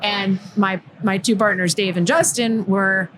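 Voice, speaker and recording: A woman's voice: mid-range voice, steady tone, unhurried, fairly bright tone, a little hiss or hum, poor audio. A woman hosting a live podcast, enthusiastic and talkative as she introduces her guest. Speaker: podcast host.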